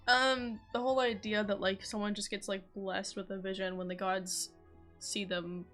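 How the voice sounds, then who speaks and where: A woman's voice: mid-range voice, little pitch movement, normal speed, fairly bright tone, soft background music. A young woman streaming a game, chatting casually and rambling with fillers as she talks to her viewers. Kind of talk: casual gaming stream chat.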